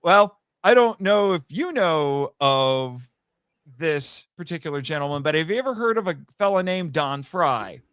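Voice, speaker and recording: A man's voice: a little high, unhurried, smooth, no echo. Rambling male podcast guest, dry close sound. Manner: rambling, animated storytelling with acted-out quotes. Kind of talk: casual anecdotal interview chat.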